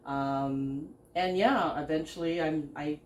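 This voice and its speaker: Low-voiced woman telling her story in an echoey room. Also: steady tone. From Philippines, raised in Canada. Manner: halting, many fillers and restarts, laughs often. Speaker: podcast host and business founder. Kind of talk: casual interview, telling her life story. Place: living room, seated on a sofa. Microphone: stand mic between speakers.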